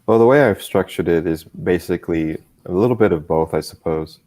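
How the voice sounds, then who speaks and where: A man with a very low voice talks as a guest in a relaxed conversation. He chooses his words carefully and often repeats small words while finding his phrasing. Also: brisk. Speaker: guest, comics creator. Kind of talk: casual livestream chat.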